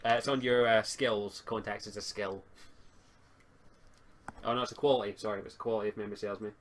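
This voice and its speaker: A man's voice: on the low side, steady tone, brisk, a bit bright. A man acting as game master, improvising narration for a tabletop roleplaying game in a hesitant, stammering way.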